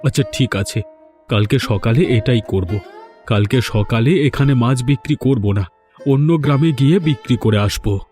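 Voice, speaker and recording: Mellow-voiced, fed-up village fisherman played by a man. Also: mid-range voice, moderate pace, faint music behind, natural voice. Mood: troubled, fed up. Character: put-upon village fisherman, fed up, determined. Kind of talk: animated folk-tale dialogue.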